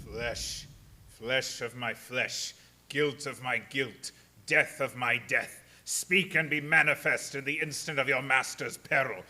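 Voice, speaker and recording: A man playing an ancient vampire count in a radio play, intoning slowly and seductively like an incantation, with commanding authority. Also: mid-range voice, fairly even intonation, pushed and bright. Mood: dark incantation. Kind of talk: radio play horror acting.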